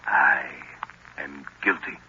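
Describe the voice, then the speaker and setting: An actor playing a sinister, domineering professor and hypnotist in a theatrical old radio drama style, commanding and menacing. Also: male voice, rumbling low, takes it slowly, bright, forceful tone, exaggerated voice. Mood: commanding, hypnotic. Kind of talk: 1940s radio drama acting. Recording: dull, noisy old radio recording.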